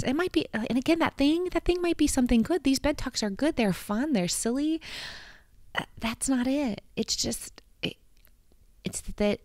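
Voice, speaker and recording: A woman's voice: low voice, vocal fry, darkish tone, no echo. A woman in her thirties talking earnestly and encouragingly to camera from her bedroom, holding a handheld mic close. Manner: earnest, heartfelt, encouraging, with frequent restarts and laughs. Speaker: vlog host. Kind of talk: casual motivational talk to camera. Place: bedroom at home, indoors. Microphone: handheld microphone held close.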